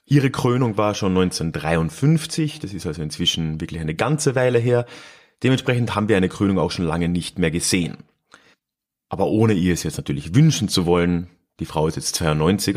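A man hosting a history podcast, talking in a chatty solo style with chuckling asides and hesitant fillers. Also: sits low. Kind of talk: casual solo podcast narration.

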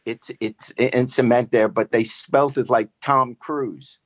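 Casual man with mid-pitched voice. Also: fairly even intonation, a little slow. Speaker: show regular. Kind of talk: casual joking chat. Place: live show at a coffee parlor.

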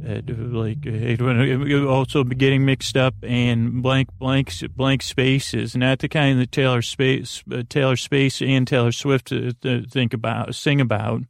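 A man hosting a sleep podcast in a soothing, creaky voice, rambling through tangents with many fillers and restarts. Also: mid-range voice, little pitch movement, unhurried, few pauses, dry recording. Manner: meandering, full of fillers and restarts. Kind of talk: rambling bedtime monologue.

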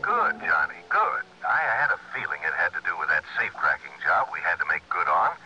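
An actor playing a fussy, eager insurance man on the telephone in a radio drama, inquisitive and raspy. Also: low voice, fairly quick, muffled recording, faint music behind, full character voice. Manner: animated, inquisitive, deliberating aloud. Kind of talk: 1960s radio drama acting. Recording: thin telephone voice on old radio recording.

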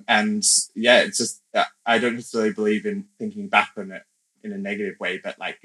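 A young podcast guest from Australia speaks in a low, level voice. He talks thoughtfully and casually, pausing often and filling gaps with "like" and "I guess". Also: rough recording. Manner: reflective, soft-spoken, many fillers. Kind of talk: casual interview talk.

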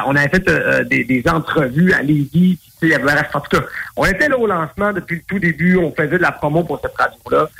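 A man with a Québécois accent rambles excitedly through a promo spot for a radio station. His voice sits a bit high and sounds gravelly and husky, with a bright, tense edge. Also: rough recording. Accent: Québécois French. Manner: enthusiastic, rambling. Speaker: hip-hop artist giving a station shout-out. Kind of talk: recorded promo testimonial.

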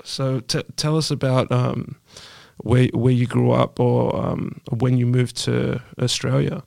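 A man hosting a podcast interview, asking easygoing, halting questions. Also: mid-range voice, level tone, gravelly, a little mellow, dry recording, studio quality. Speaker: podcast host.

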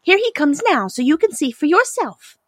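Tiny china doll princess for a storybook, super high pitched, prim n proper, kinda timid. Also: female voice, big pitch swings, normal speed, no echo, studio quality. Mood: prim, chatty. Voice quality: small, frightened little voice. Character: frightened, fragile young china doll princess, prim and polite.

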